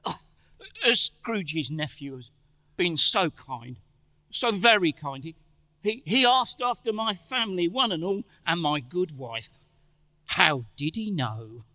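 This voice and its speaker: A man playing a gentle, grieving father in a radio play, halting and stammering as he holds back his sorrow. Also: high-pitched, lively intonation, no room sound, heavily acted. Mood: brave, sorrowful. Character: gentle grieving father, poor, tender, holding back tears. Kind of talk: theatrical radio play acting.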